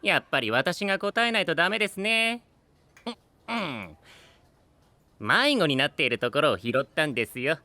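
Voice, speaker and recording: Casting: sly, courteous male knight, bright and animated, playful needling, anime-style audio drama. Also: on the high side, moderate pace, pauses often, no room sound, heavily acted. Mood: playful teasing. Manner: courteous wording, smug and playful. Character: sly, polite, teasing young male knight.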